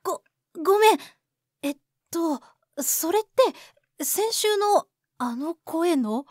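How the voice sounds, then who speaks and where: A woman's voice: very high-pitched, lively intonation, slow, a little mellow, no echo, clean studio sound, exaggerated voice. A woman acting as a sweet, earnest, easily spooked young woman in a voice drama. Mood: startled.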